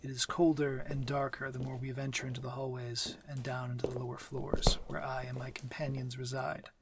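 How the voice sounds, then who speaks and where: A man playing a courteous, commanding vampire lord in an intimate ASMR roleplay, speaking formally in a measured, breathy, near-whispered voice. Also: medium pitch, little pitch movement, heavy background noise, lightly acted. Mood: calm, instructive. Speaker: audio roleplay voice actor.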